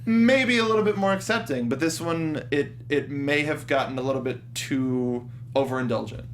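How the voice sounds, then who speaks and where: A man hosting a casual panel chat about games and film, in an animated, jokey way. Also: fairly high pitch, normal speed, a little hiss or hum. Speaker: show host.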